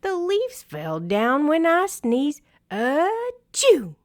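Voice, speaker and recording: Woman reading as a slow, bumbling storybook bear. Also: high-pitched, huge pitch swings, voice pushed up, full character voice. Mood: insistent. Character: big male bear, bumbling, stubborn, worried. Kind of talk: children's picture book read-aloud.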